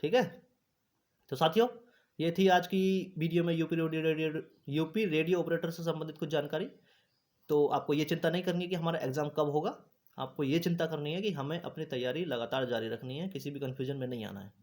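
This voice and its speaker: A man's voice: a little high, steady tone, fairly quick, a little mellow. A man giving an informal, instructive explainer to exam candidates, repeating points and often checking that listeners follow. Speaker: host of an exam news channel.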